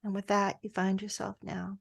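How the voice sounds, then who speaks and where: A woman leading a guided meditation in a soft, gentle, drifting voice that sometimes slips into a whisper. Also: mid-range voice, completely flat delivery, moderate pace, creaky.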